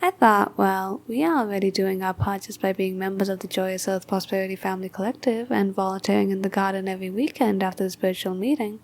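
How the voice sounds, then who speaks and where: A woman's voice: mid-range voice, fast talker, hardly any pauses, lightly acted. A woman playing a cheerfully devoted mother in a bunker commune, giving an earnest, chatty testimonial in an audio comedy. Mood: earnest, contented.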